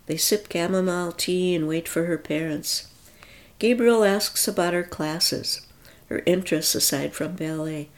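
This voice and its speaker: A woman narrating a story aloud in a calm, even reading voice. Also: fairly low pitch, a little slow.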